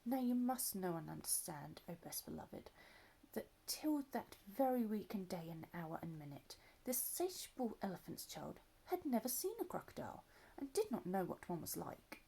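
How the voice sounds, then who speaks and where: Woman storyteller, animated read-aloud, warm gravelly husky tone. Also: lowish voice, moderate pace, rough recording. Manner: expressive, lively voices, drops to soft asides.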